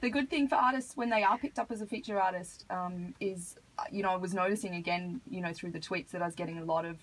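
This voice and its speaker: An Australian woman with a husky voice, talking casually as an artist being interviewed on radio. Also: on the low side, fast talker, poor audio. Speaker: interview guest, hip hop artist. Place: radio studio.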